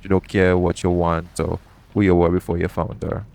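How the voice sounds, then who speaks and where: Casting: male actor as roguish, guarded pirate captain, deep voice, measured intimate delivery for audio roleplay, noisy ambient backdrop. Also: flat delivery, creaky, a little mellow. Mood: frank, reflective. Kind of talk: intimate audio roleplay acting addressed to the listener.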